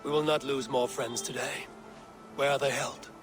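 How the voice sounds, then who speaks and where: An actor playing a seasoned Viking clan leader in a video game, speaking low and measured, with game music behind. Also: male voice, steady tone, natural voice.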